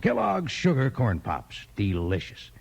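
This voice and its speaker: A man pitching a product in a folksy, exclaiming cowboy style, like a 1950s radio commercial. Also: wildly swooping pitch, unhurried, vocal fry, breathy edge. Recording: muffled old radio recording.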